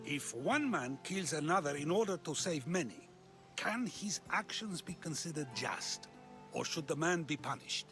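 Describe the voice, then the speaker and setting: An actor playing a wry, talkative philosopher of ancient Greece who probes with measured questions in a video game. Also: male voice, fairly high pitch, expressive. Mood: probing, wry. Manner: inquisitive, measured, rhetorical questioning. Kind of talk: video game cutscene acting. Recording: music behind the voice.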